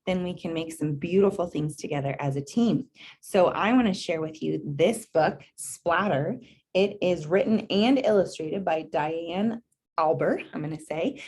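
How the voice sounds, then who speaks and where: A woman with a slightly husky voice reads a picture book to children. She is expressive and encouraging, slipping between the story and chatty asides, and she often puts questions to her listeners. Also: deep voice. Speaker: read-aloud presenter.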